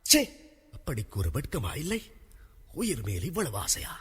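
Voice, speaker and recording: A man's voice: mid-range voice, huge pitch swings, normal speed, a bit bright, breathy edge, exaggerated voice. A man with a Sri Lankan Tamil accent playing a proud, hot-headed young prince in a radio drama, declaiming with bitter, accusing indignation. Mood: indignant, scornful. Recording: long hall-like reverb.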